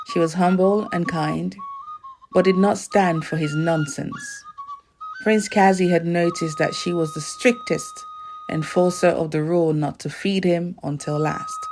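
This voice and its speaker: A woman reading a scripted folktale as a storyteller, in a measured storybook delivery. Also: on the low side.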